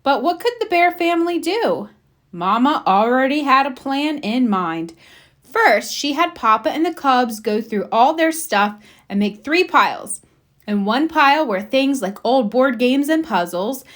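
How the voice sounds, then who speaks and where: A woman reading a children's storybook aloud, with expressive, emphatic narration for young listeners. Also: high voice, unhurried. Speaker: storybook reader.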